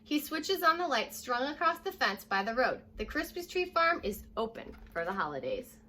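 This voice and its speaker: A young American woman reading a children's story aloud like a teacher, addressing young listeners directly in a lively way. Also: high-pitched, normal speed, a little hiss or hum. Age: young adult. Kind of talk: children's read-aloud with short asides. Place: living room at home, indoors.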